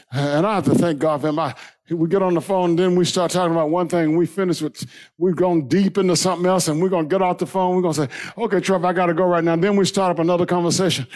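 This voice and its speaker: A man preaching as pastor, building into a shouting, half-sung whooping cadence and then dropping to a quieter storytelling tone. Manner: drops to low conversational storytelling. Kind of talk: sermon.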